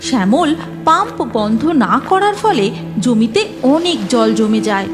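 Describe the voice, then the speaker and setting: A woman's voice: a little high, big pitch swings, unhurried. A woman narrating a scripted cartoon story expressively, with music behind her.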